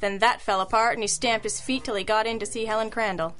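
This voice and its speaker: A woman playing a sharp, wry private detective in an old-school radio drama, crisp and matter-of-fact, with compassion underneath. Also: on the high side, steady tone, fast talker, natural voice.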